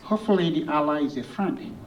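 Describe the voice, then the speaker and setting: Male candidate, high-pitched, folksy, heard at a distance with faint room hum. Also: lively intonation, a little fast, muffled recording. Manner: folksy, rhetorical questions, clears throat. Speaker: congressional candidate. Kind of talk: candidate forum answer. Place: event hall.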